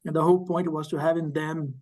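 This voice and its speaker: A man, one of the journal's editors, moderating an online workshop, with quick thanks and hand-offs to each speaker. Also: fairly high pitch, little pitch movement, darkish tone.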